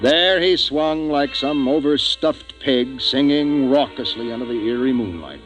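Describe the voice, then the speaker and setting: An actor playing a resolute, authoritative spaceship commander in a 1950s science fiction radio drama. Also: male voice, medium pitch, faint music behind, lightly acted. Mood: grave narration. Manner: brisk, authoritative, urgent. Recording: noisy vintage 1950s radio recording.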